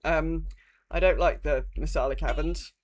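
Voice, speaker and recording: A woman's voice: low voice, steady tone, normal speed, a little hiss or hum. Woman podcast co-host, informal and rambling. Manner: informal, rambling, mild swearing. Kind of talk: casual chat about gaming news.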